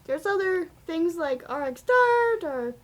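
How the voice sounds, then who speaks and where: Female performer as chirpy bird mascot, tiny very high voice, conversational coding supervisor. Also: very animated, a little slow, exaggerated voice. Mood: explanatory.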